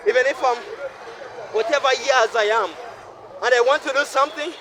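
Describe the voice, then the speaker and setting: A man in his twenties from Uganda, talking to himself in a repetitive, preachy monologue while walking a city street at night, sweaty from walking fast. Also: very high-pitched, crowd noise, noisy background. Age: 20s. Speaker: vlogger. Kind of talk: rambling night-walk monologue.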